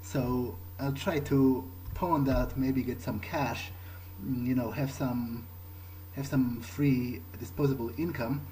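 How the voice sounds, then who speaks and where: A man role-playing his character in a video game playthrough, thinking aloud in a hesitant, halting, musing way. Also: mid-range voice, fairly even intonation, warm tone, slight background noise, low-quality recording. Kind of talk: improvised in-character monologue over a video game.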